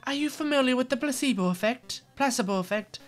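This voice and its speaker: A woman's voice: sits high, animated, fast talker, music in the background, voice pushed up. A woman voicing a refined young princess in a video game, speaking formally. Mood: explaining.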